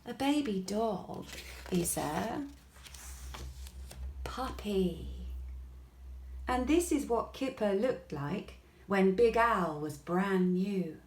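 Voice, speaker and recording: A woman reads a bedtime story for young children, gently and expressively. Her pace is very unhurried, with careful pauses and lively movement in pitch. The room around her voice is noticeably echoey. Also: slight background noise. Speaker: storybook reader.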